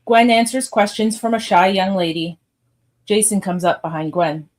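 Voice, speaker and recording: A woman's voice: mid-range voice, moderate pace. A woman narrator reading screenplay stage directions aloud in a scripted live read.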